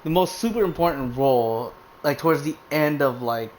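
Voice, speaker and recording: A game streamer making loose, offhand remarks during play, swearing freely. The voice sits at an ordinary middle pitch. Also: lively intonation, moderate pace, noisy background. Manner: casual, swears, offhand asides. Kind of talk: casual gameplay commentary.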